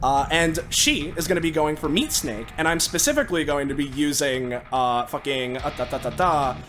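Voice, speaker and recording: A man talking as a player in a tabletop game, improvising narration and rules talk in a halting, casually sweary way. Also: a little high. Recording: loud music behind the voice. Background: music.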